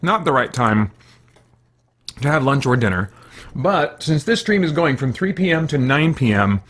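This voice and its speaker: A man's voice: medium pitch, very animated, moderate pace, fairly bright tone. Rambling American man hosting a game stream. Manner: rambling, self-correcting, thinking aloud. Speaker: live streamer, gaming host. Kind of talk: casual livestream chat.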